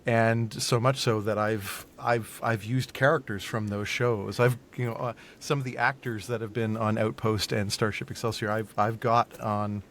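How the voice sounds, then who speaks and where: A man's voice: on the low side, little pitch movement, normal speed, few pauses, fairly bright tone, a little hiss or hum. A man chatting casually as a podcast guest, often repeating and rephrasing his words as he explains. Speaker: podcast guest, audio drama creator and voice actor. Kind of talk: casual interview conversation.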